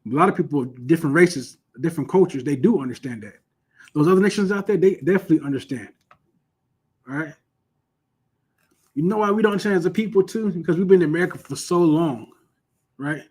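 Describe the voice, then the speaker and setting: A man's voice: fairly high pitch, fast, pauses often, darkish tone, breathy edge. A man teaching an informal online Bible lesson in a conversational, emphatic way, often asking "you know what I'm saying" and repeating points for stress. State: starting to lose his voice.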